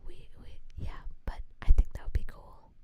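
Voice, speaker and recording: A woman VTuber streamer whispering through a relaxed ASMR chat, rambling and joking about herself, on a standard non-binaural mic. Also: moderate pace. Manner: rambling, self-mocking, giggly.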